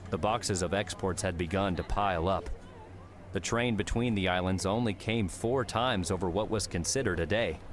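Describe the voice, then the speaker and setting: Low-voiced male audiobook narrator. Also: a little hiss or hum. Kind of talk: scripted fiction narration.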